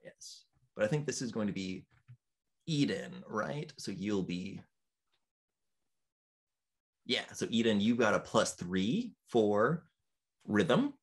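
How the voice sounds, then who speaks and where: A man running a tabletop role-playing session as game master, encouraging the players and pausing often with ums. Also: on the low side, big pitch swings, slightly rough. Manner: encouraging, hesitant with frequent ums.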